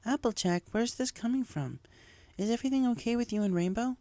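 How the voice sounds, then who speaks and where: A woman's voice: fairly high pitch, lively intonation, fairly quick, warm tone, voice pushed up, close to an everyday voice. A woman voicing a bookish, helpful mare pony who speaks thoughtfully and asks questions in a story. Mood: curious, concerned. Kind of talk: fan fiction character dialogue. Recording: music behind the voice.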